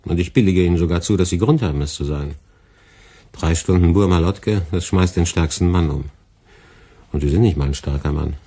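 A middle-aged boss in a radio play, dry and ironic, always lecturing. His voice is very deep, dark and husky. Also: brisk. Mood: sardonic. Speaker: department head.

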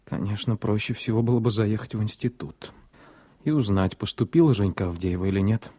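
An actor playing an experienced surgeon in a radio drama, kindly and gently ironic, a little flustered and musing. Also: male voice, fairly low pitch, brisk, natural voice. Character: experienced male surgeon, kindly, self-mocking, smitten, slightly flustered. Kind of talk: Soviet radio drama acting.

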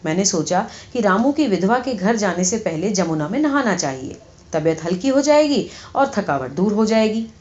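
A woman narrates a satirical short story as an audiobook reader. Her voice sits at an average pitch for a woman. The recording is a little dull and lo-fi. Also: sing-song, darkish tone.

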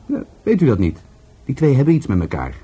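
A man's voice: mid-range voice, huge pitch swings, a little fast, mellow, breathy edge, full character voice. An actor playing a cheeky, wary man under police questioning in a radio drama, sardonic and defiantly unrepentant. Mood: sullen explaining. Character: cheeky, wary male murder-case witness, defiantly unrepentant.

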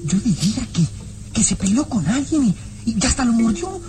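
Music sits behind a small boy sidekick in a radio serial. The child is outspoken and suspicious, with an insistent, very animated delivery. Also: fairly high pitch, normal speed. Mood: suspicious, earnest. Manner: hushed, earnest, insistent. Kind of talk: old radio serial acting.

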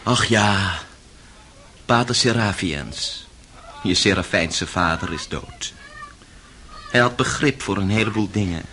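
A man playing a bitter, tormented intellectual gentleman in a radio play, with a biting, commanding and sardonic manner. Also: on the low side, slow, pauses often, slight background noise. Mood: sardonic, weary. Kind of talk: Dutch radio play acting.

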